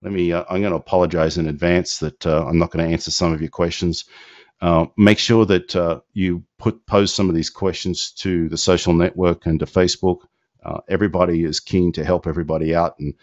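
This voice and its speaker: Low-voiced man rambling through a webinar. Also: flat delivery, fairly quick, a little mellow, no echo. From not from Indiana. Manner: rambling, many fillers and restarts. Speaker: company founder hosting a business call. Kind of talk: live Q&A webinar, casual. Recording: dull lo-fi dry audio.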